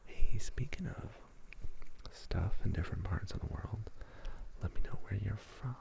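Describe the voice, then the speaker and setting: Guy whispering slow on an asmr stream, super crackly breathy voice, chill slangy rambling to chat. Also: lowish voice. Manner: laid-back, slangy, rambling. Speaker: ASMR livestream host. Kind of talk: whispered ASMR livestream, casual chat with viewers.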